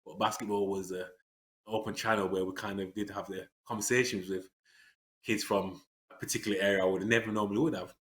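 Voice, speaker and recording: A man, talking earnestly in an interview. His voice sits low but has a bright, forceful edge. He pauses on fillers and restarts his sentences often. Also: fairly even intonation. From England. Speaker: interview guest. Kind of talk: reflective conversational interview.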